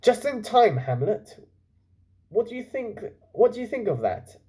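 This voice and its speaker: A man voicing an eager young boy in a children's story, in a chirpy, brisk manner. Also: extremely high pitch, sing-song, mellow, voice pushed up, exaggerated voice.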